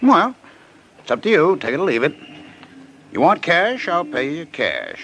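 An actor playing a slick, brazen fight promoter in an old radio drama, smooth-talking and insistent, with a noisy recording. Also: male voice, fairly high pitch, huge pitch swings, unhurried, fairly bright tone, full character voice. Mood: pushy, confident. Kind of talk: 1950s radio detective drama acting.

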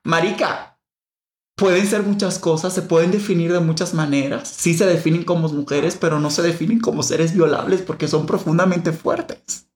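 A woman's voice: fairly low pitch, fairly even intonation, normal speed, a little mellow. Dominican woman thinking aloud, roomy echo. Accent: Dominican Spanish. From Dominican Republic. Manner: thinks aloud with frequent restarts and emphatic stress. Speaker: podcast host. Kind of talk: reflective conversational discussion.

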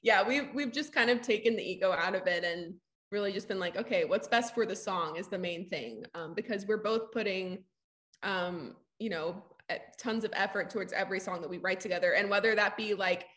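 A woman's voice: medium pitch, fairly quick, fairly bright tone. A woman chats casually in an interview as a singer, with a soulful, gritty voice and informal phrasing full of "like". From Southeast Texas, US. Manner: informal, frequent "like". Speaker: singer-songwriter in a sister duo.

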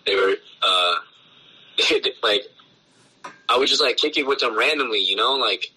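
A man, a punk band's bassist, talking loosely in a casual interview, full of swearing and filler words. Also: a little slow, sharp tone, breathy edge, poor audio. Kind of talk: casual podcast conversation.

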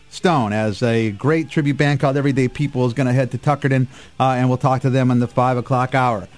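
Higher-pitched man hosting talk radio. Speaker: radio show host. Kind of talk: casual live radio talk. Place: radio studio. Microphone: studio microphone.

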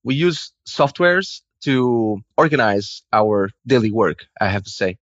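A man's voice: on the low side, expressive, measured pace, dry recording, highs cut off. A man from Portugal with a Portuguese accent, speaking conversationally as an interview guest. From Lisbon, Portugal. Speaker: guest, video game character artist.

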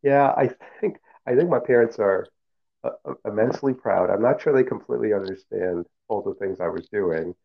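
A middle-aged man, a professor whose first language is Cantonese, answering interview questions in a measured, reflective way with many fillers and restarts. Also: lowish voice, vocal fry. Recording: phone-like narrow sound.

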